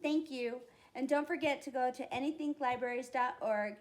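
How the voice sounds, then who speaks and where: A woman reading a children's picture book aloud at a library storytime, with expressive, deliberate phrasing for young listeners. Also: high voice.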